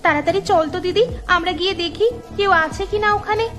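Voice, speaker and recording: A woman's voice: extremely high pitch, fast talker, a bit bright. A woman voicing an anxious young village sister in a cartoon folktale, with an urgent way of talking. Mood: alarmed, curious. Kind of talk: Bengali cartoon dialogue. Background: music.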